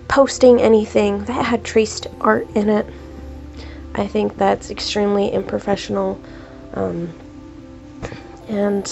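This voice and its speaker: A young woman talks slowly and haltingly, her voice congested and stuffed up from a cold. Her tone is dark and mellow with a gravelly rasp. She speaks at a desk at home, with faint music playing underneath. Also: medium pitch. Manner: hesitant, many ums, slow and deliberate. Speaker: art YouTuber. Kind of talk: casual commentary while drawing.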